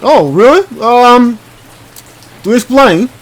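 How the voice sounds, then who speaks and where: High-pitched man doing casual game commentary. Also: animated, slight background noise, rough recording. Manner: informal, halting, thinking aloud. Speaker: gaming video host. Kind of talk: casual let's play commentary, reading on-screen text aloud.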